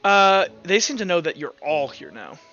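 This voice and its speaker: A man's voice: high voice, big pitch swings, normal speed, bright, forceful tone, dull audio, soft background music. A man acting as game master, casually narrating a tabletop roleplaying game.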